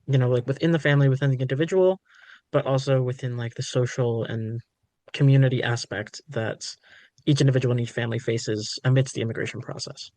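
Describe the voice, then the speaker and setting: A man's voice: mid-range voice, fairly even intonation, brisk, a little mellow, dry recording. A man speaking hesitantly as a panelist, with fillers like "you know, like". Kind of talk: panel discussion.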